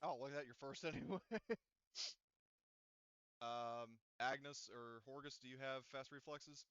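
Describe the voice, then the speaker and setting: Smooth-voiced man running a tabletop game. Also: mid-range voice, steady tone, unhurried, a bit bright, no room sound, highs cut off. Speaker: game master. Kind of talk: casual tabletop game refereeing.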